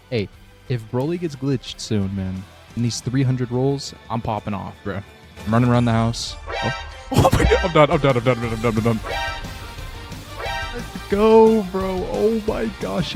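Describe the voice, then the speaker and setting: A man hosting casual gameplay commentary, excitable and slangy, who is a little sick, so his voice sounds slightly off. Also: medium pitch. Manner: excitable, slangy, calls viewers fellas and bro. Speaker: gaming channel host.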